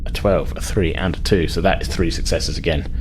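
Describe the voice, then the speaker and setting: A man with a low-pitched voice runs a tabletop game, talking through rules and outcomes in a conversational, hesitant way. He thinks aloud as he explains, over clearly audible background noise. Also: fairly quick. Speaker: game master. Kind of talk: running a tabletop role-playing game, conversational.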